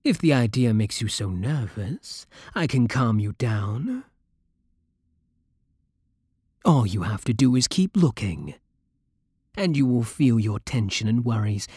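A man acts out a seductive British vampire king in an ASMR roleplay. He speaks slowly and imperiously in a very breathy, husky voice with a lively, swooping melody. The delivery is formal, possessive and teasing, close to the microphone. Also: on the low side, no echo, heavily acted. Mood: soothing, seductive. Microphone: close, dry microphone.

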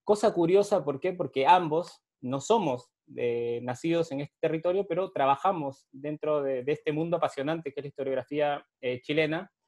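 A man's voice: fairly high pitch, normal speed, few pauses, darkish tone. Young Peruvian man hosting a video call. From Peru. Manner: hesitant, many fillers and restarts. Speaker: host and historian. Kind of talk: virtual panel conversation. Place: home study with bookshelves. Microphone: video call with earphone mic.

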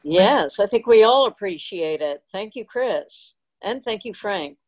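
A woman's voice: mid-range voice, expressive, measured pace, a little mellow. A woman chatting casually with a group on a video call. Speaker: club member chatting in meeting.

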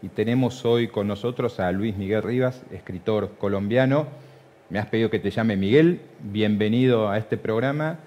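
A man's voice: on the low side, moderate pace, dark tone, highs cut off. A man in his forties with a Buenos Aires accent, hosting a conversational television interview. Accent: Argentine, Buenos Aires. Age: 40s. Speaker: TV interview host. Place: TV studio.

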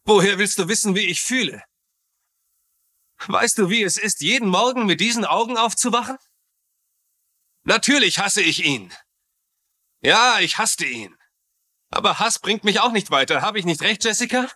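A man's voice: sits high, animated, moderate pace, pauses often, bright, forceful tone, heavily acted. An actor playing a bitter, wounded man in a dubbed TV crime drama, speaking earnestly and with strong feeling. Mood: anguished. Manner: earnest, emotional, pleading. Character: bitter, wounded blind husband accused of murder. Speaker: voice actor in a German crime series dub.

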